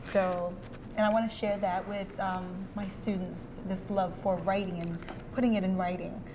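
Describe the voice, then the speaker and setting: A woman's voice: mid-range voice, flat delivery, normal speed, noisy background. A woman from Haiti, a poet and educator, answering interview questions earnestly with hesitant fillers.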